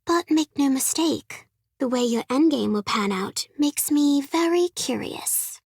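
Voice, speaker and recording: A woman's voice: high-pitched, animated, measured pace, darkish tone, no room sound, studio quality. An actress playing a sly, skeptical, curious woman in a game. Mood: amused skepticism. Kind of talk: scripted game character line.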